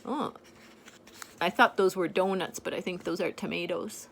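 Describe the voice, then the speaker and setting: An older woman reading a picture book aloud to a child in a gentle, playful way, pointing out the pictures as she goes. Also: lowish voice, normal speed, creaky, a little hiss or hum. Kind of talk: storybook read-aloud to a child.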